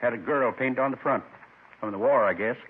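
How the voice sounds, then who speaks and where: An actor playing a lonely, gentle man in his seventies, folksy and wistful, confessing in short rambling phrases in 1950s radio drama style. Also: mid-range voice, fairly quick, a little hiss or hum, natural voice. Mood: earnest. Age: 70s. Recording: muffled 1950s radio recording.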